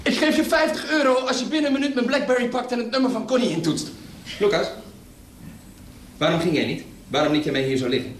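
A man's voice: high voice, fast talker, lightly acted. An actor playing an injured 37-year-old businessman stuck on the floor, talking in a clipped, indignant, bossy way. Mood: stern. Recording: roomy with faint noise.

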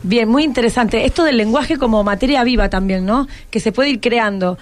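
Chatty high-voiced Argentine woman radio host. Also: moderate pace, faint music behind. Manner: chatty, encouraging, thinks aloud. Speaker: radio host interviewing students. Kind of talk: informal radio interview. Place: radio broadcast at a microphone.

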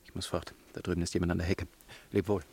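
Low-voiced, impatient conspirator. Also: male voice, flat delivery, quick pace, gravelly, a little mellow, husky, exaggerated voice. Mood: urgent. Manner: terse, dry, challenging. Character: impatient, sceptical male fellow conspirator, curt and pressing. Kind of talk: dramatic radio play dialogue.

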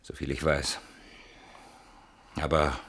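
An actor in a radio drama playing a fearful, suspicious husband, speaking in a hushed, urgent, husky voice. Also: male voice, extremely low pitch, little pitch movement, takes it slowly, full character voice. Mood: uneasy. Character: fearful, suspicious husband convinced a stalker lurks outside. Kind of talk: German radio drama acting.

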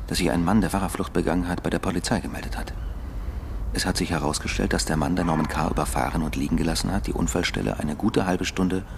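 An actor playing a weary returned husband in a naturalistic radio drama, speaking in a halting, subdued and deliberate way. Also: male voice, low voice, steady tone, gravelly, noisy background. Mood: regretful, insistent. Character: weary husband returned after years of captivity, confessing.

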